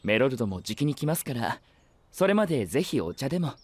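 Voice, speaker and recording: A man's voice: mid-range voice, big pitch swings, fast, slight background noise, close to an everyday voice. A man playing a gracious, courteous knight who speaks formally and politely in an anime-style audio drama. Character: gracious, polite male knight hosting guests.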